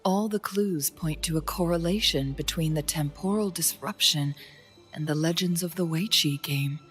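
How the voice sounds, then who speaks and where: An actress playing a composed, thoughtful young female strategist in a game, speaking calmly with a soft breathy edge. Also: deep voice, faint music behind. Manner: calm, measured, softly breathy. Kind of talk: video game cutscene dialogue.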